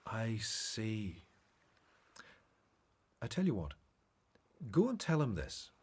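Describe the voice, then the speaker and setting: Man playing husky-voiced, expressive gentle teacher. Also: fairly low pitch, takes it slowly, full character voice. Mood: gentle, caring. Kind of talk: radio Bible drama acting.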